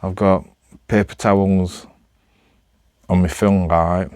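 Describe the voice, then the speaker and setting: A man's voice: deep voice, flat delivery, takes it slowly, darkish tone, smooth, dry recording. A man with a Northern English accent chatting casually to camera, rambling in a hesitant, self-deprecating way. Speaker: amateur vlogger.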